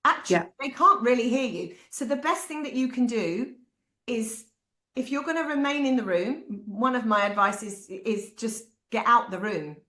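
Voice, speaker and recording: Animated British woman, echoey room. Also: fairly high pitch, normal speed. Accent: British English. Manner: animated, acts out quoted voices. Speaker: parent speaker. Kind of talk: candid talk to parents.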